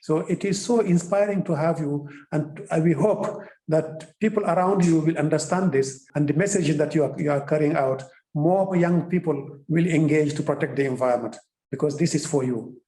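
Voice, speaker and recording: A man's voice: fairly high pitch, moderate pace, dark tone. A man talking in an earnest, encouraging way, in simple phrases that he often repeats. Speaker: official in conversation with a young activist. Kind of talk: encouraging interview-style conversation. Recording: roomy, echoing sound.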